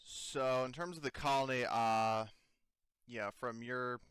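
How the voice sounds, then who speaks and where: A man's voice: medium pitch, fairly even intonation, slow, fairly bright tone, smooth, no echo, clean studio sound. A man casually explaining details to players as a tabletop game master.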